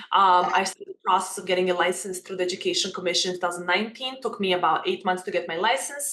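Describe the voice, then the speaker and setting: A woman's voice: mid-range voice, bright, forceful tone. A woman in her thirties with a Serbian accent, a makeup academy owner talking openly about her life as a guest in a casual interview over a video call. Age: 30s. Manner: open, earnest, restarts her phrases. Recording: echoey room.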